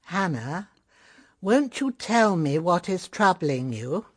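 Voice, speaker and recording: Slow-spoken, gentle elderly grandmother. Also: on the low side, big pitch swings, dry recording, clean studio sound, exaggerated voice. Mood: gently probing. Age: older. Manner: slow, tender, reflective and knowing. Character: elderly, gentle, shrewd grandmother who sits knitting. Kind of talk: period radio drama acting.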